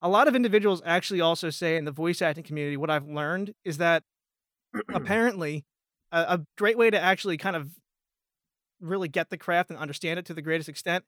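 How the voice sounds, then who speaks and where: A man's voice: a little high, quick pace, fairly bright tone, no room sound, studio quality. An American man from Pennsylvania chatting casually as a guest voice actor, rambling and self-deprecating. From Pennsylvania, United States. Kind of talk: casual chat.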